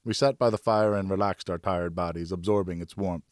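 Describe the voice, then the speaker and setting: Male audiobook narrator, low register, dry sound, scripted first-person storytelling. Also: steady tone, brisk, dry recording.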